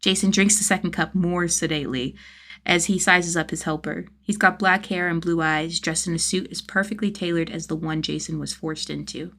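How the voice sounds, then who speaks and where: Female narrator for fan fiction audiobook, low register, expressive storytelling. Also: normal speed, gravelly. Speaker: podfic narrator.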